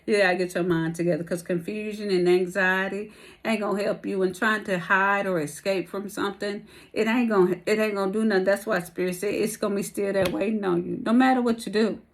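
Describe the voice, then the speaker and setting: A woman's voice: mid-range voice, fairly even intonation, brisk. Chatty woman losing her voice. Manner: rambling, slangy, calls listeners baby and y'all. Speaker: tarot reader. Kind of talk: casual spiritual tarot reading.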